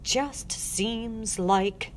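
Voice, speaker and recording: A woman's voice: lowish voice, animated, moderate pace, close to an everyday voice. A woman voicing a protective old Southern US woman in an audiobook, with folksy dialect and noise in the background. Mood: muttering. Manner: soothing, folksy dialect, firm when protecting. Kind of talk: audiobook character voice.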